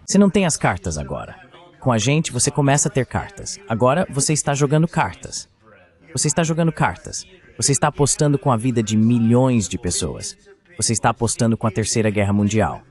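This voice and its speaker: A man's voice: mid-range voice, very animated, a little fast, a little mellow. A man giving a translated voice-over of a heated political exchange.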